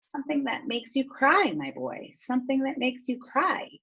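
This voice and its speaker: A woman voicing a gentle elderly man in a storybook, speaking fondly to a child and repeating himself. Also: high-pitched, very animated, normal speed, gravelly, slightly rough, highs cut off, voice pushed up, exaggerated voice. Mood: wistful.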